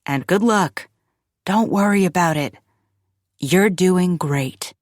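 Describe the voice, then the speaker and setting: A woman voicing a calm, supportive man with an even, soothing, gentle manner in an audiobook. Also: fairly low pitch, slow pace, no room sound, studio quality. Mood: encouraging. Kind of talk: dramatized audiobook dialogue.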